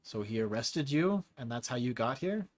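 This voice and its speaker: A man's voice: mid-range voice, expressive, moderate pace, natural voice. A man voicing a wary male lawman who asks dry, understated questions. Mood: curious.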